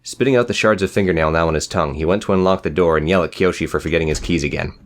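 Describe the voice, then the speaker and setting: A man's voice: low voice, racing, slight background noise. A man reading scripted fiction as an audiobook narrator.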